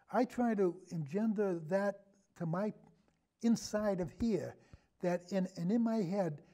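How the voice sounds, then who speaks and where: A man's voice: high-pitched, dark tone. A man speaking as a podcast guest in a casual film discussion, rambling earnestly and repeating himself as he goes.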